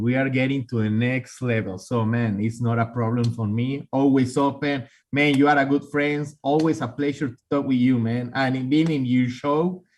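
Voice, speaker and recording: Mexican guy on a podcast, heavy accent, upbeat, repeats words a lot, mid pitch. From southern Mexico. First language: Spanish. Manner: friendly, upbeat, repeats words while searching for English phrasing. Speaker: guest. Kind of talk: casual podcast interview. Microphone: remote video call.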